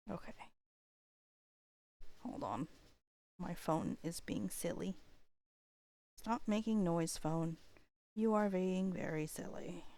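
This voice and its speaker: Low-pitched woman chatting casually on a livestream. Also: no echo. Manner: rambling, thinking aloud. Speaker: livestream host.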